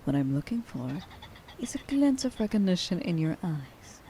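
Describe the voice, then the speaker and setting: A woman playing a stern, vengeful werewolf huntress in an ASMR roleplay, speaking to the listener with deliberate contempt and menace, often slipping into a breathy whisper. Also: fairly low pitch, very animated, warm tone, a little hiss or hum. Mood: probing, contemptuous. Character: stern, vengeful female werewolf huntress, grieving a lost friend.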